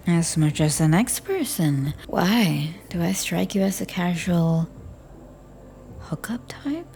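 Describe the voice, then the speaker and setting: A woman performing a scripted audio roleplay as a flirty, teasing bartender, speaking softly and often near a whisper. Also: on the low side, expressive, slow, gravelly.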